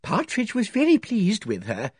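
A man's voice: high-pitched, huge pitch swings, moderate pace, husky, dry recording, clean studio sound, voice pushed up, exaggerated voice. A man reading aloud, voicing a timid, nervous elderly spinster lady who speaks tremulously and hesitantly.

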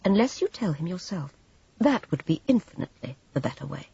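A woman voicing a smooth, cold, quietly resolute woman in a dramatised storybook reading. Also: lowish voice, sing-song, exaggerated voice. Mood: cool, resolute. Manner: composed, cool, measured, polite. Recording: roomy, slightly dull audiobook recording.

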